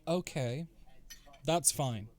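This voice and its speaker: A man's voice: medium pitch, extremely slow, darkish tone. A man acting as game master, narrating and refereeing a tabletop game among players. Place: online group game session.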